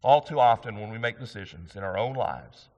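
Loud preaching pastor in a reverberant hall. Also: male voice, fairly low pitch, animated, a little fast. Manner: loud emphatic preaching, rising for key points. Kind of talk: sermon. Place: church sanctuary.

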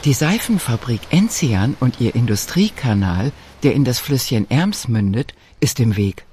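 A man narrates a radio documentary in a calm, measured, scripted manner. His voice sits at an ordinary middle pitch. A faint hiss of background noise lies under the reading.

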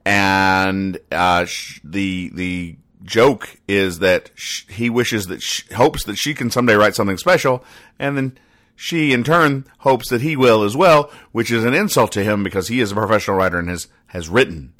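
A man hosting a comedy podcast, explaining things in a deadpan, pedantic, halting way with earnest over-explanation. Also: on the low side. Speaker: podcast host.

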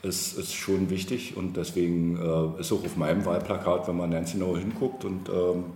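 A man's voice: deep voice, level tone, normal speed, a little mellow. A man in casual conversation as an interview guest, searching for words and speaking with dry humour. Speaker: interview guest, political candidate. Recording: roomy echo with background noise.

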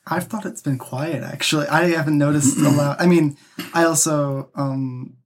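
A man's voice: on the high side, steady tone, moderate pace. Young male guest, easygoing casual talk. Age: young adult. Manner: easygoing, frequent "yeah" and "mm-hmm". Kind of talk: casual interview chat.

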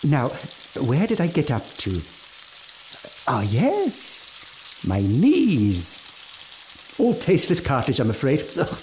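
Radio drama: eccentric older epicure, fussy and food-obsessed, slow delivery with animated, swooping pitch. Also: male voice, background noise, full character voice. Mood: savoring relish. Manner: fussy, savoring, old-fashioned genteel speech. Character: eccentric aging gourmand mountaineer, genteel, ghoulishly food-obsessed cannibal. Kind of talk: theatrical radio drama monologue.

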